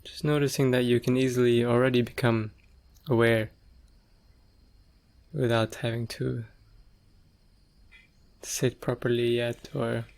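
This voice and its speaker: A man leading a guided meditation, speaking gently and leaving long pauses between short phrases. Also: mid-range voice, flat delivery, vocal fry. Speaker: meditation teacher.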